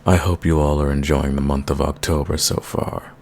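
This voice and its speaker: A man with a deep voice talks casually to his online audience as a channel host. His delivery is informal and direct, with a touch of gravel. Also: flat delivery, moderate pace, darkish tone. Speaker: video creator, channel host. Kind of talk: casual channel sign-off chat.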